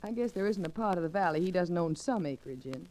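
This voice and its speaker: Mellow, earnest frontier woman played by an actress. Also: on the low side, expressive, quick pace, natural voice. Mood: subdued, uncertain. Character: earnest, composed, bereaved young frontier woman. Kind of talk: old-time radio western acting. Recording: noisy old radio recording.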